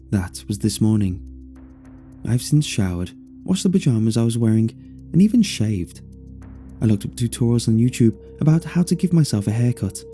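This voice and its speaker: A man's voice: mid-range voice, vocal fry, dark tone. A man reading a scripted first-person horror story as its narrator.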